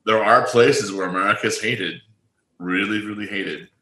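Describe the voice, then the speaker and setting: Low, bright-toned man in an echoey room. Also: moderate pace. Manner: relaxed anecdotal storytelling. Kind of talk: casual chat. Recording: roomy echoey mic.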